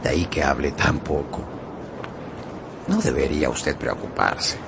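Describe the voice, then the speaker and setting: An actor playing a loyal, talkative manservant in a radio drama, polite, earnest and theatrical. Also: male voice, a bass voice, unhurried, gravelly, noisy background, full character voice. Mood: earnest, reassuring. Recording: music behind the voice.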